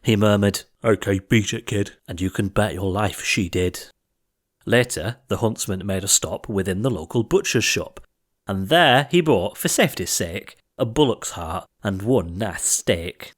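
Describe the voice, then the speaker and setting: A man's voice: lowish voice, lively intonation, a little slow. A man reading comic verse as an audiobook narrator, with a theatrical, rhythmic delivery.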